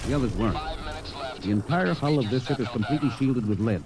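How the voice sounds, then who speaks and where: An American man playing a gruff, confident, middle-aged spaceship captain in a vintage radio drama, brisk and plain-spoken. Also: medium pitch, expressive, crowd noise, lightly acted. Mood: firm, defiant. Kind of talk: old-time radio drama acting. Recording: vintage radio broadcast, music behind.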